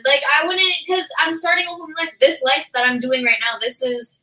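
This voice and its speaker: A woman with a very high voice, set back from the mic with chatter around her. She talks casually as a podcast co-host, slangy and profane. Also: brisk, roomy sound, far from the mic, rough recording. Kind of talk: casual group chat.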